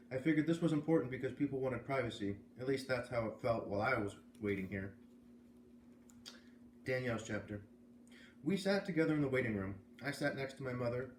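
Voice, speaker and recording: American man reading aloud in an echoey room. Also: medium pitch. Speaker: read-aloud host, storyteller. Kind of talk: reading a children's novel aloud, with casual asides. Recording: roomy echo, faint background noise.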